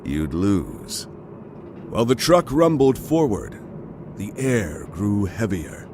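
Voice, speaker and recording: A man's voice: medium pitch, big pitch swings, measured pace, distant mic, background noise. A man reading scripted dramatic narration for an audio drama.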